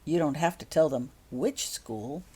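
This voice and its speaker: Woman reading as a courteous, animated wizardly man. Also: deep voice, a little slow, husky, heavily acted. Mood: light, courteous. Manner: courteous, light, dry. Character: polite, dryly amused adult male magician, kindly guardian.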